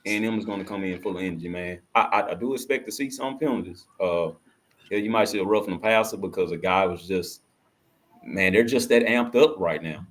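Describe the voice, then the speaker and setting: A man with a lowish voice chatting as a guest on a sports show. He starts with plenty of hesitations, then builds into eager, hyped-up talk about the game. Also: brisk. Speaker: guest panelist. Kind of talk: casual sports chat.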